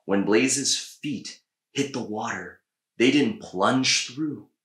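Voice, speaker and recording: A man in his thirties reading a children's story aloud with measured, deliberate phrasing and pauses. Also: mid-range voice, a bit bright. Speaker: storybook reader. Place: small indoor room with bookshelves. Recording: echoey room.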